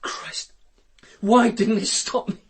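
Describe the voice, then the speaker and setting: A sneering, husky British killer. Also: male voice, high-pitched, fairly even intonation, slow pace, full character voice. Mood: anguished rage. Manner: sneering, taunting. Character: contemptuous, bitter male murderer holding hostages at gunpoint. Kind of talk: radio drama acting.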